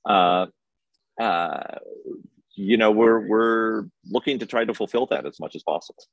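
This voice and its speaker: Low-voiced hesitant man, dull dry recording. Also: unhurried, gravelly, dry recording. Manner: hesitant, stammering over repeated words. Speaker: film festival organiser, interview guest. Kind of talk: informal interview answer.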